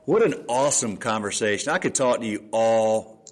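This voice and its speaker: A man of fifty hosts a podcast conversation from his desk, speaking into a microphone beside his laptop. He talks in a relaxed, enthusiastic way and works through ideas with plain everyday examples. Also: lowish voice, normal speed, a little hiss or hum. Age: 50s. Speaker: podcast host. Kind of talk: casual conversational interview. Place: room at a table with laptop.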